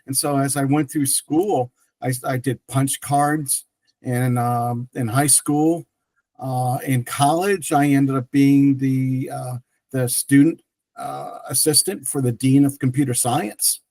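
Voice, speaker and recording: A man's voice: medium pitch, fairly even intonation, slow, a little mellow, no room sound. A middle-aged man whose first language is French, telling his career story as an interview guest with many restarts and fillers. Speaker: guest, tech entrepreneur.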